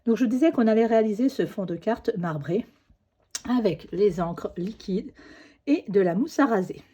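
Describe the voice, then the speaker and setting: Woman with a cold, husky. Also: mid-range voice, expressive, moderate pace, warm tone, highs cut off. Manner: chatty, fillers like "hop" and "euh" while working. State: has a cold, coughing. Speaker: crafting demonstrator. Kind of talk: crafting tutorial.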